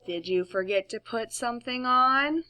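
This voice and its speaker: A woman voicing a loud, nagging mother frog in a storybook, calling out to her child with drawn-out words. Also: fairly high pitch, dry recording. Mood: calling loudly.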